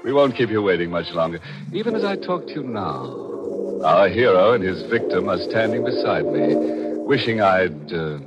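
An actor playing a ghoulish, tongue-in-cheek horror host in a theatrical 1940s radio mystery style. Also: male voice, sits low, very animated, moderate pace, highs cut off. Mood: macabre, teasing. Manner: macabre, mock-genial, theatrical. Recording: old radio broadcast, music behind.

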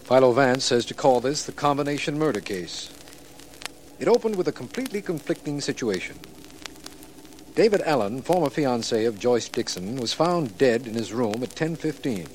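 Brief: an actor as a brisk DA, businesslike, animated delivery, old-time radio. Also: male voice, medium pitch, slight background noise, close to an everyday voice, low-quality recording. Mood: narrating. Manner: brisk and matter-of-fact. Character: brisk, exasperated district attorney. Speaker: district attorney. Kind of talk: 1940s radio acting.

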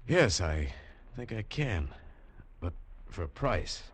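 A man's voice: low-pitched, big pitch swings, takes it slowly, husky. A man as hero and narrator of a 1950s radio adventure drama, telling the story in an earnest, urgent way.

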